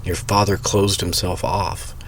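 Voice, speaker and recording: A man playing a deep-voiced paternal family friend. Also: flat delivery, a little slow, a little hiss or hum. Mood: gentle. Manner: soft-spoken and careful. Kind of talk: audio drama acting.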